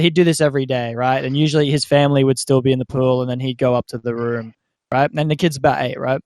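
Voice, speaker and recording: A man hosting a podcast, telling stories in an animated, casual way and often checking in with his listeners. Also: mid-range voice, no echo. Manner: animated storyteller who often checks in with "right?". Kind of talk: casual storytelling chat.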